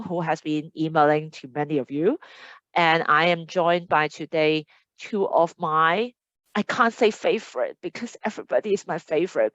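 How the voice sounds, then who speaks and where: A woman hosts a casual webinar over a video call, chatty and upbeat as she reads audience comments aloud. Her voice sits fairly low and sounds dry and close. The audio is dull and lo-fi, like a typical online meeting. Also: dry recording. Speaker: admissions host.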